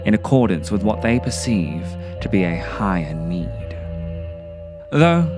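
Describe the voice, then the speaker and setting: Low-voiced man, lively contemplative essay narration. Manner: contemplative, literary, theatrical phrasing. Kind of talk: scripted video essay narration. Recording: music behind the voice. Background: music.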